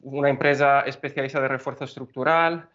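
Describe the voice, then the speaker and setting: A man's voice: mid-range voice, steady tone, fairly bright tone. Italian-accented man, hesitant guest, muffled lo-fi sound. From Italy. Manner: halting, many fillers and restarts. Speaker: guest engineer. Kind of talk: podcast interview.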